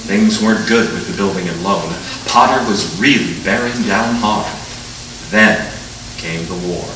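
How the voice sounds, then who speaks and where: An actor playing an authoritative senior angel narrator, telling the story dramatically in measured 1940s radio style. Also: male voice, low-pitched. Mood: solemn storytelling. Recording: echoey stage, distant mic, noisy.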